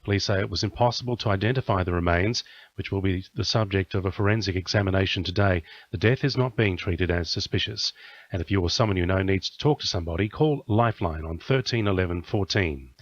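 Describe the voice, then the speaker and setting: An Australian man reads a radio news bulletin at a quick clip. His voice sits a little low, and the studio sound is dry and slightly muffled, like lo-fi radio. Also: dry recording. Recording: dull lo-fi radio audio.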